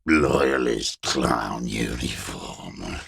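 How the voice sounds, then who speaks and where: A man playing a bitter old communist deserter in a video game, muttering in contemptuous, terse fragments with a gravelly, raspy voice. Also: very deep voice, very slow, a bit bright, no room sound, clean studio sound, full character voice. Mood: bitter, disgusted. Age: older. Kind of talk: video game character voice acting.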